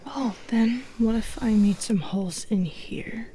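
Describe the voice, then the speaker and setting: A woman playing a sly, teasing, predatory Medusa in an audio roleplay, with taunting, coaxing delivery in a breathy whisper. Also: fairly high pitch, measured pace, warm tone, slight background noise, lightly acted, poor audio. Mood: menacing, teasing.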